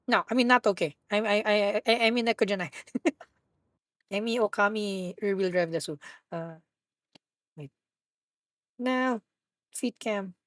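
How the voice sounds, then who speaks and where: A woman with a husky voice hosts a live stream, chatting casually while she plays. She rambles, corrects herself and jokes around. Her voice sits mid-range, and the sound is close and dry. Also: mid-range voice, measured pace, long pauses, fairly bright tone, no echo. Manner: rambling, self-correcting, playful.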